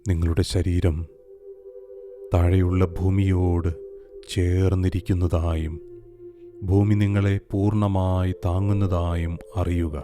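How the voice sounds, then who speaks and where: A man narrating a scripted guided meditation in a calm, soothing, unhurried way with long pauses. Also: low-pitched. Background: soft meditation music with singing bowls.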